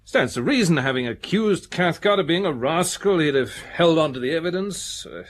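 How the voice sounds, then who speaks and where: An actor playing a methodical, earnest police detective in a British radio drama, speaking in a careful, matter-of-fact way. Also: male voice, on the high side, lively intonation, moderate pace. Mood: thoughtful.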